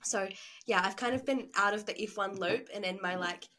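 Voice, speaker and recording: A young woman who is a university student co-hosts a podcast from her hall room. She sounds tired and a little rough from a recent cough. She talks in an easygoing, rambling way. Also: mid-range voice, moderate pace, bright, forceful tone, slight background noise. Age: young adult. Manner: laid-back, rambling. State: tired, getting over a cough. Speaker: podcast co-host. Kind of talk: casual chat.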